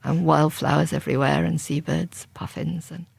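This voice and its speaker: Gravelly, low-voiced woman author. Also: fairly even intonation, moderate pace, a little mellow, no room sound. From England. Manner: reflective and conversational, with frequent restarts. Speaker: crime writer. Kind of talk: interview guest.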